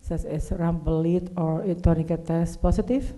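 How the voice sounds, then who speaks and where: A woman with an Indonesian accent presents at a medical conference. Her voice is very soft-edged and dark, pitched a little low. She speaks halting English, piecing phrases together as a non-native speaker. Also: fairly even intonation. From Indonesia, Java. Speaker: clinical pathologist, conference speaker.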